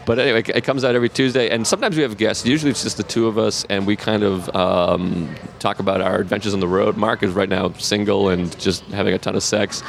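A comedian in his thirties with a Boston accent talks in a casual interview. His voice sits very low with a gravelly fry. He halts, restarts and laughs at himself often. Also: fairly even intonation, slight background noise. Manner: halting, laughs often, self-deprecating. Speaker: comedian guest.

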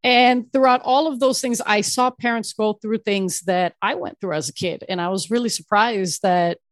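A middle-aged woman therapist, born in Ecuador and raised in Miami, telling her story in an animated way as a podcast guest. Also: medium pitch, normal speed, no echo. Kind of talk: casual interview storytelling.

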